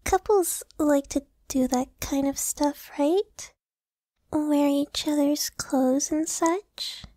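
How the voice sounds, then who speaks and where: Clingy anime girlfriend, ASMR roleplay, high-pitched, unhurried, affectionate, close and dry. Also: no room sound. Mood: infatuated, flustered. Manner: soft, breathy, intimate delivery.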